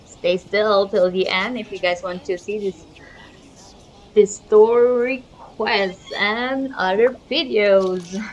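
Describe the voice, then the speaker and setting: A woman hosting a gaming channel, chatting casually and excitably to viewers and sometimes to herself. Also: medium pitch, slow, slight background noise. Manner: informal, excitable, talks to herself. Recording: dull lo-fi mic over game music. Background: game music.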